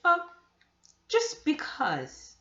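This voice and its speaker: A brisk, slightly cross storybook aunt, read aloud by a woman. Her fairly high voice rises and falls widely, in a roomy recording. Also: huge pitch swings, a bit bright, exaggerated voice. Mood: brisk, evasive. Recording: echoey room, dull muffled sound.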